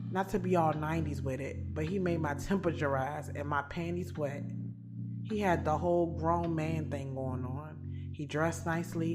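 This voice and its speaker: A woman's voice: on the low side, close to an everyday voice. A woman playing a bold, frank schoolteacher, narrating an audio drama in a conversational, slangy, confiding way. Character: bold, frank, strong-willed adult woman schoolteacher narrating her love life. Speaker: narrator and lead actor in an audio drama series. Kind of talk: first-person dramatic narration, conversational and streetwise.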